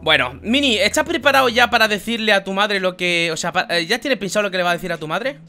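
High, bright-voiced young man from Spain. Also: lively intonation, quick pace. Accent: Spain Spanish. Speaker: gaming YouTuber, host. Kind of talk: casual teasing banter over gameplay. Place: indoor gaming room. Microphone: close studio condenser microphone, with headphones. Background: faint game music.

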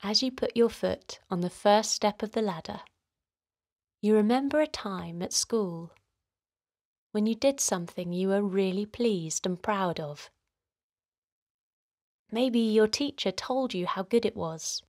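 Slow, soothing woman guiding children's meditation. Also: medium pitch, dry recording, studio quality. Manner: soothing, gentle, encouraging. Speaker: host of children's guided relaxation. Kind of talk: guided meditation narration for children.

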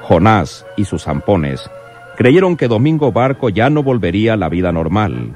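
An actor as the solemn narrator of a crime radionovela, delivering scripted dramatic storytelling. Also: male voice, low-pitched, a little mellow.

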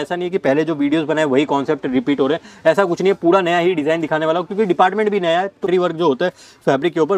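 A young man showing fabric stock on a warehouse floor, reeling off items in rapid salesman patter. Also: a little high, darkish tone, no echo. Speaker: fabric seller. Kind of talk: product showcase walkthrough.

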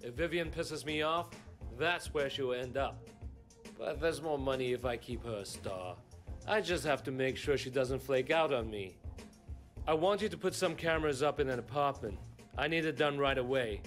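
An actor playing a brash, jovial showbiz gangster boss in a video game, breezy and commanding. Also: male voice, medium pitch, normal speed, fairly bright tone, soft background music, lightly acted. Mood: businesslike, cold. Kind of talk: video game cutscene acting.

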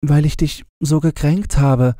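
A man's voice: on the high side, fairly even intonation, unhurried, mellow, no echo, studio quality, voice pushed up, close to an everyday voice. A man voicing a proud, snippy yet tender queen in a storybook fairy tale. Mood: apologetic. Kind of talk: storybook character voice.

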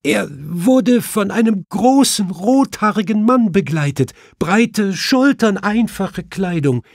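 A man's voice: sits high, animated, slow, darkish tone, no echo, clean studio sound, voice pushed up, exaggerated voice. A man voicing a distraught, weeping widow in an audiobook, shaken and tearful.

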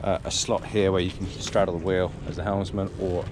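A man's voice: deep voice, little pitch movement, fairly quick, background noise. A man presenting a casual walk-through boat review in clipped, fragmentary phrases, recorded on a handheld action camera. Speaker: presenter reviewing a sailing yacht.

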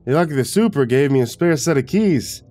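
A folksy, retired older man as acted by a male storyteller rambles along conversationally in a horror tale. His pitch rides up in an amused, chatty way, with music underneath. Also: expressive, fairly quick, warm tone, lightly acted. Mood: amused, dismissive.

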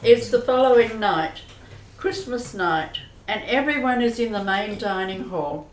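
A woman's voice: medium pitch, expressive, a little slow, over music. A woman reading scripted narration for a radio play. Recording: distant, roomy, noisy with clicks.

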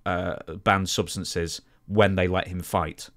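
A man's voice: sits low, little pitch movement, takes it slowly, a bit bright. A man co-hosting a podcast, talking casually through sports news and explaining it. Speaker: podcast co-host.

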